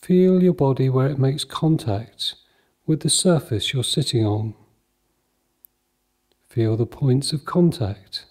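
Slow, mellow man guiding meditation. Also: mid-range voice. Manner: calm, measured, soothing, with long pauses between short phrases. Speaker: meditation guide. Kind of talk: guided mindfulness meditation.

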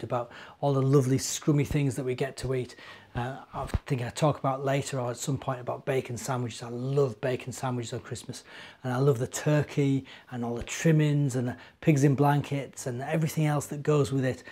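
A man's voice: mid-range voice, warm tone. A British man in his forties, a minister, chatting simply to young children as a storyteller and asking them questions. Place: living room indoors.

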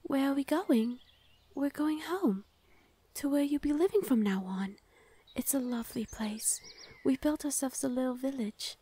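A woman voicing a gentle, protective fae lady of the forest in an ASMR roleplay, soothing and often whispering. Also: high voice, a little slow. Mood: warm, comforting.

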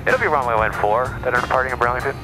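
Quick-talking man in a pilot headset. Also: medium pitch, animated, music in the background, low-quality recording. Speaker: student pilot. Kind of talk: casual cockpit chat and radio calls. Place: small plane cockpit in flight. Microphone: aviation headset.

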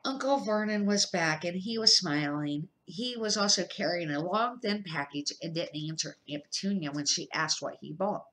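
A middle-aged woman reading a children's novel aloud as an amateur, slightly halting and correcting her words as she goes. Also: lowish voice, fairly bright tone, poor audio. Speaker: amateur storybook reader.

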